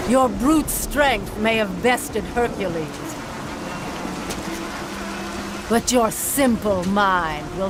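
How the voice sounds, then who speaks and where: A woman's voice: medium pitch, noisy background, close to an everyday voice. An actress playing a vengeful, scornful goddess queen in a video game cutscene, with a haughty, accusing, dramatic delivery. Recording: loud music behind the voice.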